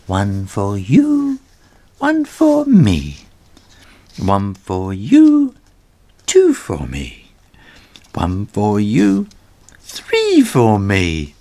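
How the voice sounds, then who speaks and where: A man voicing a confident young ginger kitten in a children's story, teasing and deliberate. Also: lowish voice, wildly swooping pitch, mellow, exaggerated voice. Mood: taunting.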